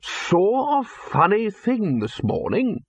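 A man reading an audiobook voices a gruff, blunt old male wizard chief who barks short phrases. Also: sits high, huge pitch swings, slow pace, no room sound, lo-fi sound, pitched above the performer's own voice, heavily acted. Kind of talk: audiobook character voice.

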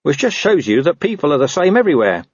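A man's voice: on the high side, very animated, quick pace, slightly rough, no echo, voice pushed up. A man voicing a cynical, scruffy talking street dog who grumbles and wheedles. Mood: lecturing. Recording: dull dry recording.

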